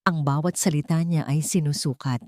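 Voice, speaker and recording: A woman narrates a dramatic audio story in a low-pitched voice. Her delivery is deliberate and emotive, and the sound is dry, with very little room around it. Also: steady tone, darkish tone, no room sound.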